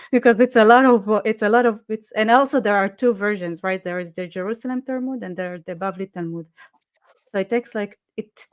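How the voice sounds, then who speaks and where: A woman's voice: fairly low pitch, a little mellow. Sarcastic woman full of "like"s. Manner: sarcastic, many "like" fillers. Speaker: guest. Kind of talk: casual live-stream chat. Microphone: online call.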